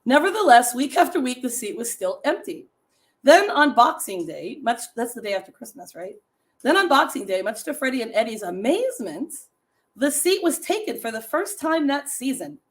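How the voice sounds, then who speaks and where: A middle-aged woman with a raspy, slightly higher-pitched voice hosts a relaxed live stream. She chats loosely with viewers, laughs often, and reads trivia and short holiday stories aloud in an easygoing, conversational way. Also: normal speed.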